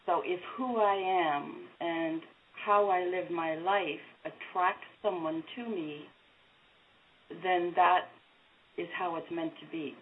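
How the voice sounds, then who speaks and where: A woman introducing herself in a measured, reflective way on an online group call. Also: lowish voice, steady tone, a little hiss or hum. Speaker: group participant. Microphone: video call. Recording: tinny call audio.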